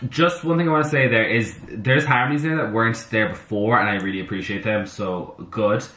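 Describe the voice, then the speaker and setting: A slightly hoarse young man chatting excitably to camera in his bedroom, talking to himself as he reacts to music. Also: lowish voice, a little fast. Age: young adult. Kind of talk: casual reaction chat. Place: bedroom at home, indoors. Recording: roomy bedroom with faint music. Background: soundtrack music playing faintly.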